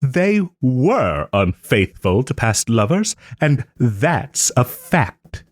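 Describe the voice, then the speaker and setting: A man playing a proud, sarcastic nobleman in a scripted roleplay audio drama, with haughty, theatrical phrasing and pointed pauses. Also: medium pitch, big pitch swings, no room sound, heavily acted. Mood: indignant. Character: wry, wounded young nobleman lord, sarcastic and proud.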